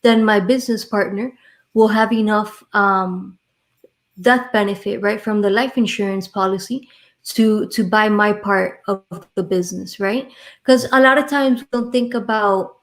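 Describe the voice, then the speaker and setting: A woman's voice: mid-range voice, fairly even intonation, measured pace. A woman from Miami with a Miami accent, a friendly insurance agent explaining things as a guest in an interview. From Miami, Florida. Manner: friendly, explanatory, frequent ums and rights.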